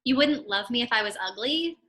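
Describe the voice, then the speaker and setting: A woman's voice: a little high, expressive, brisk, fairly bright tone. A woman playing a lovestruck, needy young girlfriend in a gushing, dramatic way at a script table read. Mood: offended.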